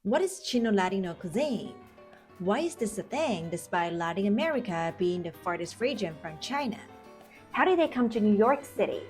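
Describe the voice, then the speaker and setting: A young woman host narrating a food documentary in a clear, even storytelling tone. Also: mid-range voice, normal speed, soft background music. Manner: clear, even storytelling with brief mm-hmm cues. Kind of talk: scripted documentary narration and interviewing.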